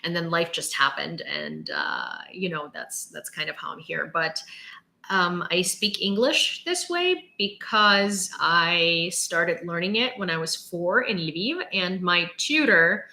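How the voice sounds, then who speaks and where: A woman's voice: on the low side, sing-song, gravelly, bright, forceful tone. A Ukrainian-born woman in her late twenties with a near-American sound, hosting a live news stream and chatting sassily with viewers. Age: late 20s. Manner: chatty, sassy, quick asides to chat.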